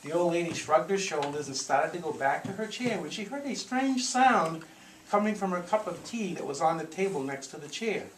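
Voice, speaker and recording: A man telling a story theatrically and deliberately to a group, with playful asides. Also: fairly high pitch, lively intonation. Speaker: live storyteller. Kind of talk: dramatic spoken storytelling to a live group. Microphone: small consumer video camera in the room. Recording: echoey noisy room, consumer camcorder audio.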